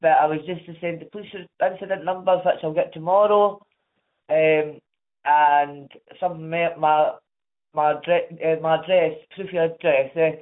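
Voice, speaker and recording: A man's voice: a little high, steady tone, moderate pace. A man with a Scottish accent, rambling and apologetic on a casual phone call, tagging his sentences with questions. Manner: rambling, apologetic, keeps adding "eh?" and "right?". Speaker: caller, prospective legal client. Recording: narrow phone line.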